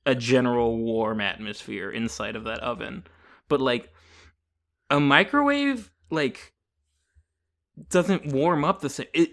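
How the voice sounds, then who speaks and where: Young guy podcasting, bright punchy voice, sweary joking around, exaggerates stuff for laughs. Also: medium pitch. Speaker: podcast host. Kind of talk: casual comedic banter.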